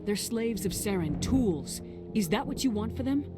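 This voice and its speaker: A woman playing a resolute, level-headed military commander in a space video game, speaking in a firm, measured, persuasive way. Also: medium pitch, lively intonation, music in the background, natural voice. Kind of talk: dramatic video game acting. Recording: clear background noise of game ambience.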